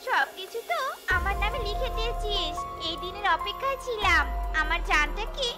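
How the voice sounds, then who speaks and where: A shrill, extremely high cartoon fox wife voiced by a woman, young, demanding and melodramatic. Her delivery is exclamatory and dramatic, over loud tinkling children's music. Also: expressive, moderate pace. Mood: scornful. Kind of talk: children's cartoon story dubbing.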